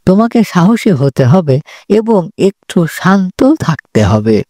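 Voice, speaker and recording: A patient uncle figure, played by a man, in a children's moral cartoon. He speaks very slowly with lively rises and falls, reassuring and instructive. The sound is dry. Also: high-pitched, dry recording, heavily acted. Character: patient, encouraging uncle mentoring his young nephew.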